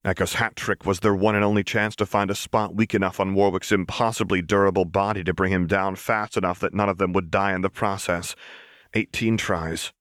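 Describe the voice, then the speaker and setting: A man reading an audiobook, narrating dramatic fiction in a measured storyteller's delivery. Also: deep voice, little pitch movement, a bit bright, no echo, clean studio sound.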